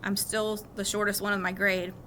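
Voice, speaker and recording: A woman's voice: mid-range voice, flat delivery, moderate pace, pushed and bright, natural voice. A woman voicing an anxious, earnest young schoolboy in a storybook reading, with noise behind her. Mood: questioning. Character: anxious, eager young schoolboy talking to his mom. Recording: clearly noisy background.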